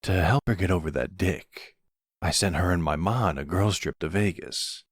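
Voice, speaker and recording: Man playing a deep, smug young mafia boss. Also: husky, no room sound, studio quality. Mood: smug, playful. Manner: teasing, unhurried, smug. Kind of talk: ASMR boyfriend roleplay acting.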